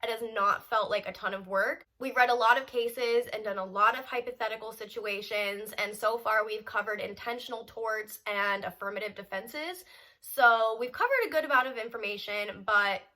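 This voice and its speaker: A woman's voice: fairly high pitch, steady tone, normal speed, sharp tone. A young woman in her twenties, a law student, chatting casually in a vlog update while stuffy and congested from a cold. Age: 20s. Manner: chatty and upbeat, with small laughs and fillers.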